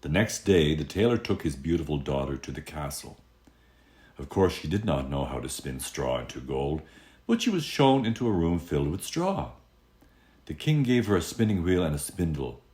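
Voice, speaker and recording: A man telling a fairy tale aloud as an expressive storyteller. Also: a bass voice, normal speed.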